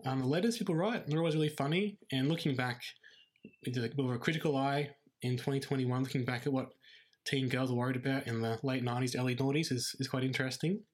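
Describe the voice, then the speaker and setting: A man hosting a casual podcast, his voice croaky from illness, earnest and self-deprecating. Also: medium pitch, quick pace. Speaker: podcast host.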